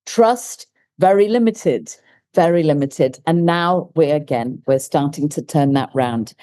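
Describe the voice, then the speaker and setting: A woman's voice: deep voice, takes it slowly, dark tone. A woman panelist speaking emphatically and conversationally about school leadership, with some fillers. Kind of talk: panel discussion answer.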